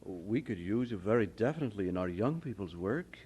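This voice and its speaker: An actor playing a kindly older pastor in an audio drama, genial and measured as he encourages like a mentor. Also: on the low side, lively intonation, darkish tone, husky. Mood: encouraging.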